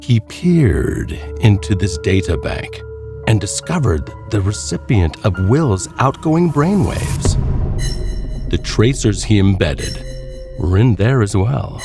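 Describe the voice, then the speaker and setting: A man narrating a scripted horror story in a deliberate, suspenseful way, with dramatic pauses. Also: lowish voice, very animated, vocal fry, music in the background.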